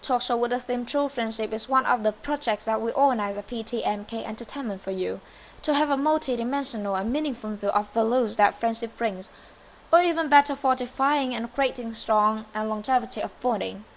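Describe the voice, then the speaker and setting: A woman's voice: a little high, a little fast, lightly acted. A teenage girl with a Vietnamese accent playing a formal female news anchor and talk show host, reading a scripted mock broadcast. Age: teens.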